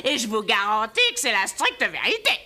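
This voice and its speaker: Casting: shameless cartoon charwoman thief, bright cutting tone, fairly high. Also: very animated, normal speed, soft background music, exaggerated voice. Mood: brazen, self-justifying. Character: brazen, thieving working-class woman, unashamed and gossipy. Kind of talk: French cartoon dub acting.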